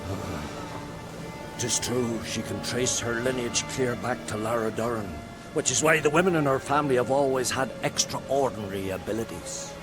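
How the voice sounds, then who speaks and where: An actor playing a pompous, indignant older druid scholar in a video game, with a theatrical, scolding manner. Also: male voice, mid-range voice, moderate pace, close to an everyday voice. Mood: curious, grave. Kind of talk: video game cutscene acting. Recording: music and effects behind.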